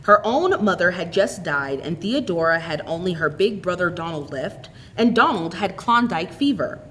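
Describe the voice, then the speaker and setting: A woman reading a short story aloud as an expressive, scripted narrator. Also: on the low side, normal speed, slight background noise. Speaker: story reader.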